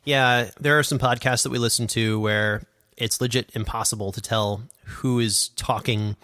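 A man with a medium-pitched voice co-hosts a casual podcast. He gives informal advice, thinking aloud as he goes. The recording is very dry, free of room sound. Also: fairly even intonation, normal speed, sharp tone, clear, resonant tone, no echo. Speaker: podcast co-host and audio drama producer. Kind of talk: casual conversational advice with a co-host.

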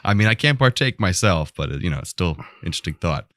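Low-voiced American man chatting on a podcast. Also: big pitch swings, fast talker, a bit bright, no room sound, clean studio sound. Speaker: podcast co-host. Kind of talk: casual podcast chat.

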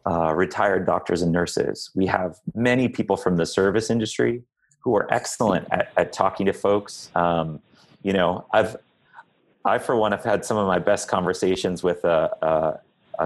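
Low-voiced man explaining in halting phrases. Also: fairly even intonation. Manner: measured, halting, searching for words. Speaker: interview guest, public health leader. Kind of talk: explanatory interview answers.

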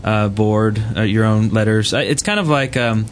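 A man hosting a casual group podcast chat, recorded live at a convention. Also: normal speed. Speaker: podcast host. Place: convention room with live audience. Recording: rumbly live convention room, clear background noise.

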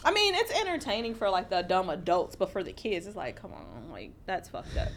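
An American woman co-hosting a talk show in casual, blunt, profane banter, telling stories in an animated way. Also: medium pitch, fairly quick, vocal fry, a crowd in the background. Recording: noticeable background noise.

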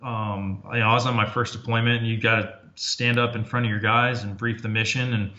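A man talking as a podcast guest, reflective and casual, often pausing with fillers and restarting his sentences. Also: fairly low pitch, level tone, sharp tone. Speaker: podcast guest, former Army Ranger officer. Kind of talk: casual interview talk. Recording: dull lo-fi audio.

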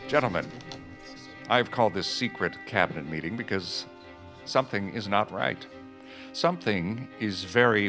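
An actor playing an indignant, scheming Secretary of State with a pompous, accusatory, theatrical manner in an audio drama. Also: male voice, sits low, a bit bright, full character voice. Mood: grave, conspiratorial.

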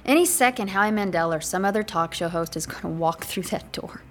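A woman's voice: medium pitch, a little fast, lightly acted. An actress playing a sarcastic, exasperated young woman trapped in an apartment, in a naturalistic audio horror drama. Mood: exasperated, insistent. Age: young adult. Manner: sarcastic, bossy, naturalistic dialogue.

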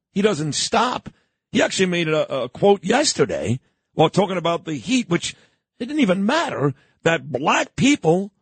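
A man's voice: on the high side, husky, no room sound. A man hosting a morning radio show, talking in a rambling way with boastful asides. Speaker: radio host. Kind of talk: casual opinionated talk radio.

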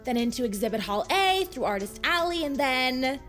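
A woman's voice: high-pitched, very animated, moderate pace, a bit bright, faint music behind. A young woman playing an earnest, nerdy girl who sounds unsure and quirky in an audio drama. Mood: nervous.

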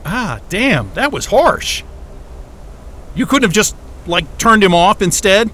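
High-pitched, excitable scrappy hero. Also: male voice, takes it slowly, a little hiss or hum, exaggerated voice. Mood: uneasy. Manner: casual, expressive, swearing. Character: excitable, scrappy, irreverent male fugitive hero. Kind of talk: scripted audio drama acting.

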